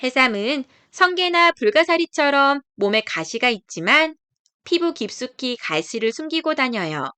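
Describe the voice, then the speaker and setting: A woman's voice: sits high, sing-song, moderate pace, bright, forceful tone, clear, resonant tone, dry recording. A woman narrating a scripted children's nature book in a careful, explanatory read-aloud way. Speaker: storybook narrator.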